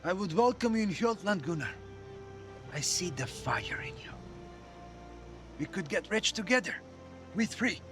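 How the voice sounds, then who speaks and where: High, bright, proud Viking jarl. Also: male voice, big pitch swings, unhurried, pauses often, soft background music, full character voice. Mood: inviting. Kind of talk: dramatic video game acting.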